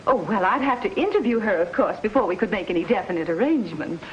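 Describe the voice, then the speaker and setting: Woman acting fretful genteel american society wife, middle-aged, swoopy pitch, old muffled tv western sound. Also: expressive, quick pace, natural voice. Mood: doubtful. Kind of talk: 1950s television western acting. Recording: dull vintage TV soundtrack, faint music.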